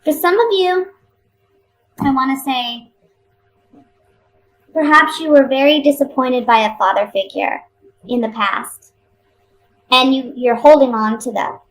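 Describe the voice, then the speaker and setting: A woman giving a casual, improvised tarot reading to viewers, talking conversationally and thinking aloud with pauses and repeats. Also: sits high, fairly bright tone, poor audio. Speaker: tarot card reader.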